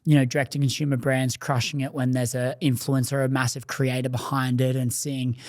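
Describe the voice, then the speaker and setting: A man's voice: mid-range voice, little pitch movement, brisk, no echo, clean studio sound. A man hosting a podcast, asking long rambling questions full of fillers. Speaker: podcast host. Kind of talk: casual interview questions.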